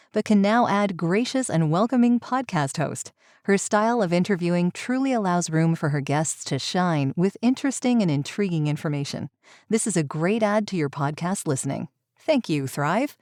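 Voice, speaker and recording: A woman with a slightly low voice hosts a podcast. She sounds like a presenter addressing listeners or chatting with a guest, recorded very dry and close with no room sound. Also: a little mellow, no room sound. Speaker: podcast host, voice actor. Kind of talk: podcast hosting, conversational and read announcements.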